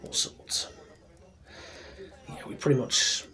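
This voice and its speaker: A man playing a friendly, proud tavern barkeep in a fantasy ASMR roleplay, speaking softly and breathily, often near a whisper, in an unhurried, rambling way. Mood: gentle, chatty, proud. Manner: unhurried, chatty, rambling with pauses. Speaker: roleplay performer. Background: soft music and tavern ambience.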